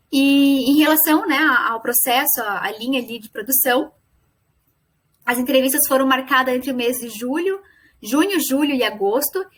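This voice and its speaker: High-pitched young Brazilian woman presenting. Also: a bit bright. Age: young adult. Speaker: student presenting a final project. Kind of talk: academic presentation.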